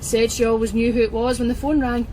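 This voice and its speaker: A woman's voice: a little high, level tone, fast, lightly acted. Chatty Scottish policewoman, played by a woman in a car. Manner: rambling, nervous, apologetic. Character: chatty, eager, apologetic young female police detective. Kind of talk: naturalistic radio drama acting. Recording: car interior with engine noise.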